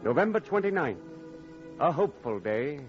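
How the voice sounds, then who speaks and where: A man's voice: a little high, sing-song, normal speed. A man narrating formally and dramatically as a 1940s radio announcer. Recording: muffled old radio recording with music.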